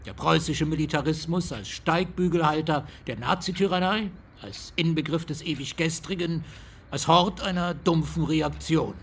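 A man acting an older East German academic in a radio play, looking back with smug self-justification. His voice is fairly high for a man and gravelly with creak, and he talks in measured, conversational phrases. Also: slight background noise, natural voice. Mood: complacent reminiscing. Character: older East German Marxist historian, self-satisfied, reminiscing. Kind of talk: radio drama monologue.

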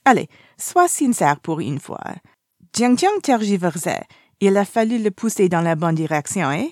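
A cheeky, nosy young man from a storybook, acted by a woman reader. The delivery is animated and theatrical, swinging readily into indignation. It is recorded very dry. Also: mid-range voice, moderate pace, no echo. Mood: teasing. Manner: animated, mischievous.

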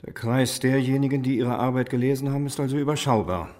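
A man's voice: medium pitch, steady tone, quick pace, a little mellow, close to an everyday voice. An actor playing a sardonic, dogged male detective questioning someone in a radio crime drama. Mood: insistent. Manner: sardonic, interrogating.

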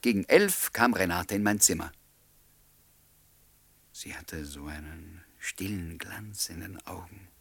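A kindly lodger in a German radio play. His voice is very low. He speaks in a wry, reflective way with an understated touch of wistfulness. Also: lively intonation, slow pace, creaky, pauses often, a bit bright, slightly rough, no echo, full character voice. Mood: wistful, fond. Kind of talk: German radio play acting and first-person narration.

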